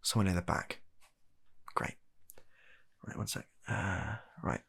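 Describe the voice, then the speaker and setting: Gravelly, low-voiced British man, deadpan and exasperated. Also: slow. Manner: exasperated, hesitant, awkwardly deadpan. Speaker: comedy ASMR performer playing a doctor. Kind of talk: comedic medical roleplay ASMR.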